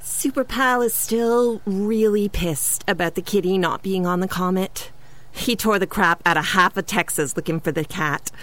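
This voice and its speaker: An actress playing a superhero's deadpan, self-justifying girlfriend, confiding in monologue in a comedic audio drama. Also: medium pitch, moderate pace, close to an everyday voice. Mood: wistful, resigned.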